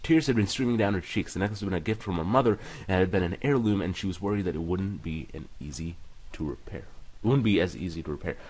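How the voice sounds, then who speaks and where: A man's voice: deep voice, quick pace, darkish tone, low-quality recording. A man hosting a let's play, casually reading game text aloud with some stumbles and commenting on it. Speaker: gaming video host.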